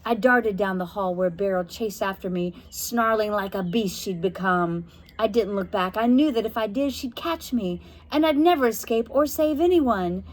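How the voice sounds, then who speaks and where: A woman reading a spooky children's novel aloud, narrating dramatically with expressive, suspenseful, deliberate phrasing. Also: mid-range voice, poor audio. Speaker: storybook reader.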